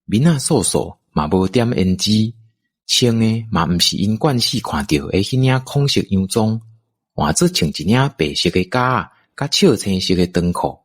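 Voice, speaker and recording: A low-voiced man with a Taiwanese accent narrates an audio drama. His voice is husky and he reads a scripted story. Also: animated, normal speed.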